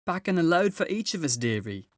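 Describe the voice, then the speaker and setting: A man's voice: a little high, very animated, no room sound, studio quality, voice pushed up. A man voicing a bustling, unflappable, motherly female beaver in a storybook, with folksy, fussy talk. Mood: brisk, unhurried. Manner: folksy, coolly unhurried.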